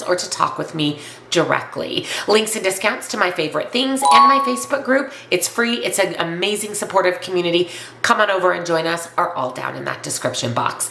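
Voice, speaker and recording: A woman with a congested, slightly raspy voice from a cold, speaking earnestly and emphatically as a coach addressing viewers in an instructional vlog. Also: fairly low pitch, sharp tone, reverberant, slight background noise. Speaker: weight loss and nutrition coach presenting to viewers. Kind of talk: upbeat instructional vlog to camera.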